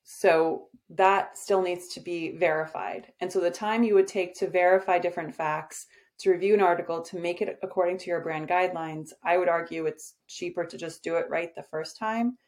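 A woman's voice: fairly low pitch, steady tone, normal speed, darkish tone. Confident, direct woman in casual conversation. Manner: direct, confident, with frequent "um" fillers. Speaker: podcast guest, agency owner.

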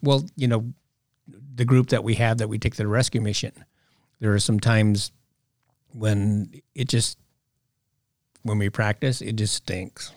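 A man from a worship team speaking in casual podcast chat, plainspoken, in short halting phrases. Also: lowish voice, fairly even intonation, darkish tone, no echo, studio quality.

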